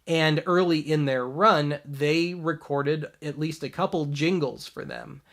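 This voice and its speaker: A man's voice: on the high side, unhurried, a bit bright. A man hosting a show in a casual, wry commentary style. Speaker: host of a show about old tapes.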